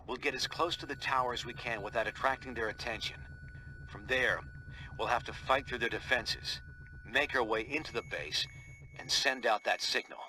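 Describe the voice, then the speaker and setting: A resolute, grave male squad leader, voiced by an actor in a video game. He briefs deliberately at a medium pitch, with clear noise behind him. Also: close to an everyday voice. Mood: grave, determined. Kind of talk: scripted game mission briefing.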